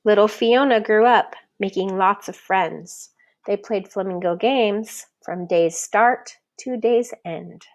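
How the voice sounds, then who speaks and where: A woman reading a children's picture book aloud as an expressive, deliberate storyteller who leans on the rhymes. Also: mid-range voice. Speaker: storybook reader.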